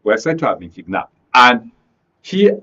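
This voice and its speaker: A Nigerian man speaking as a studio guest, giving emphatic, deliberate political analysis in a radio discussion and often repeating phrases. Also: medium pitch, big pitch swings, pushed and bright, muffled recording.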